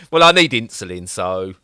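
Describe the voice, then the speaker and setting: Bright-voiced English man, jokey podcast host. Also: on the low side, a little hiss or hum. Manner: animated, jokey, quick restarts. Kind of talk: casual banter.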